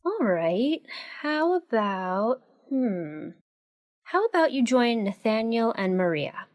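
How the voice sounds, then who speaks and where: A woman's voice: fairly high pitch, big pitch swings, slow pace, a little mellow, no room sound, studio quality. A woman playing a friendly, organised schoolteacher in a fan-made anime audio drama, addressing a class with instructions. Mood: instructive.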